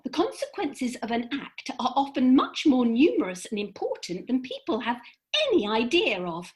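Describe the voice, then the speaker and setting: High, swooping, bossy fairy played by a woman. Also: very animated, normal speed, a little mellow. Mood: brisk, cautioning. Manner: emphatic, precise, lecturing. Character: brisk, bossy female fairy, lofty and theatrical. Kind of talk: theatrical comic radio play acting.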